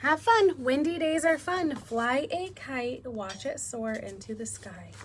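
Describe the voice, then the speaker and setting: Higher-voiced woman teaching young children. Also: animated, takes it slowly, a little hiss or hum. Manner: instructive, asks frequent questions to young children. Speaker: elementary school teacher. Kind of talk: children's read-aloud lesson, speaking directly to pupils.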